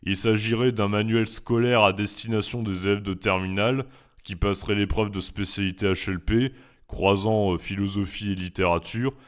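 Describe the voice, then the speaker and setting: A young French man, a philosophy teacher and YouTuber, reading his FAQ answers in a measured, reflective way with some hesitant fillers. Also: on the low side, fairly even intonation.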